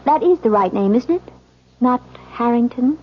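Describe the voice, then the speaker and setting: A woman's voice: a little high, lively intonation, moderate pace, a little hiss or hum, natural voice. A woman playing a distraught, suspicious young newlywed wife in a 1970s radio drama, with intense, theatrical delivery. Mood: cold, bitter. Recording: muffled vintage radio recording.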